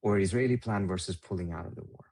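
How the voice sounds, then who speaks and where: Male guest, somewhat low voice, conversational analysis. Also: fairly quick, darkish tone.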